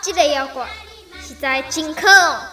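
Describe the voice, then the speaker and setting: An eager young Taiwanese boy in a radio play. The voice is extremely high and piercingly bright, childlike, with faint music behind. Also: normal speed. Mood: indignant. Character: boy apprentice, childlike, eager, dubbing a righteous boy hero. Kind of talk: radio drama acting.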